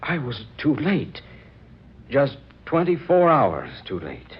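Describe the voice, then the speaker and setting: An actor playing a ruined, lovelorn young inventor in an old-time radio drama, halting and heavy with melodrama. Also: male voice, medium pitch, wildly swooping pitch, breathy edge, exaggerated voice. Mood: defeated. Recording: dull, noisy old radio recording.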